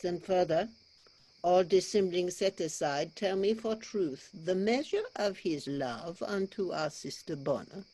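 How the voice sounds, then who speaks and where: Woman as a slow, stately French king. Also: lowish voice, warm tone, rough recording. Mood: probing. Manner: measured, formal, regal delivery. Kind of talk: staged reading of Shakespearean verse drama. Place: remote video call.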